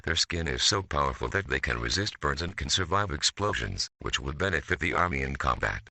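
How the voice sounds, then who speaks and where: Guy doing a detached army scientist in an analog horror story, super deep n gravelly, stiff formal reading. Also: lively intonation, fairly quick, pushed and bright, no echo, clean studio sound, heavily acted. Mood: clinical, detached. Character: male military scientist coldly recounting his mutant experiments. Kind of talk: scripted analog horror narration.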